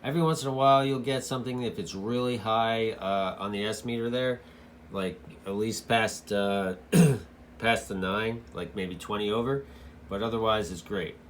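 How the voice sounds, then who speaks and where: A man giving a casual, hands-on product review in a halting way, as a hobbyist. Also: on the low side, fairly even intonation, a little hiss or hum. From United States.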